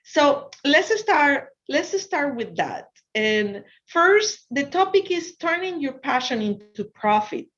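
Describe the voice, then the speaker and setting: A woman's voice: high-pitched, big pitch swings, slow pace, few pauses, fairly bright tone. A woman from Ecuador with an Ecuadorian Spanish accent, a native Spanish speaker, giving an encouraging motivational presentation to students as a guest speaker. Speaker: guest speaker, financial consultant. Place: online video presentation over Zoom. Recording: dull, lo-fi video call audio.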